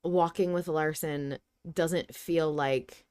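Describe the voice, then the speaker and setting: A woman with a fairly low voice talks casually as a podcast co-host. She works through her opinions out loud. The sound is close and dry, with no room to it. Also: fairly even intonation, slow, clear, resonant tone, dry recording. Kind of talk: casual discussion of a story podcast.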